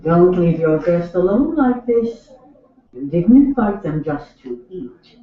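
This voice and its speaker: A woman's voice: fairly low pitch, big pitch swings, slow. An actress playing a commanding, scolding queen in an educational radio play. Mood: reproving. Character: commanding queen scolding her husband at banquet. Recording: very echoey room, muffled narrow sound.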